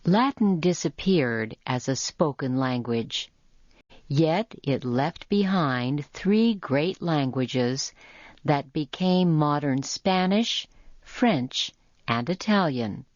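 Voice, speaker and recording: A woman with a low voice reads an educational script for learners. Her delivery is very slow and measured, each phrase carefully enunciated. The sound is dry, with little room around it. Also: animated, darkish tone, no room sound. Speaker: educational narrator. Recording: muffled lo-fi audio.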